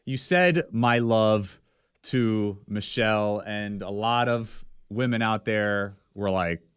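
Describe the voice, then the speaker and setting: A man co-hosting a podcast, asking questions in a relaxed, conversational way. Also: lowish voice, takes it slowly, clean tone. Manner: relaxed, conversational, easygoing asides. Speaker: podcast co-host. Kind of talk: casual interview chat.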